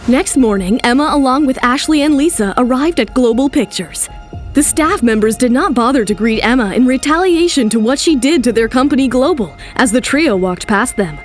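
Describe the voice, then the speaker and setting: A woman's voice: sits high, animated, moderate pace, soft background music. A woman narrating a scripted romance drama as the storyteller.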